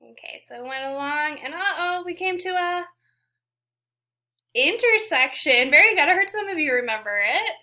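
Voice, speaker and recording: High, bright-voiced woman teaching young children. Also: lively intonation, moderate pace. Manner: encouraging, prompting, repeats praise to listeners. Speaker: teacher reading a picture book aloud to young children. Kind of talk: interactive children's read-aloud with questions.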